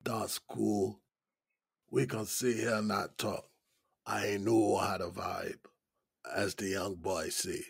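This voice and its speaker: An actor playing an easygoing, folksy older male inmate in his sixties in an audio drama, with a deep, raspy smoker's voice and a Gullah Geechee accent. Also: flat delivery, no echo, clean studio sound, heavily acted. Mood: wry, easygoing. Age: 60s. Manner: folksy, unhurried, colloquial drawl.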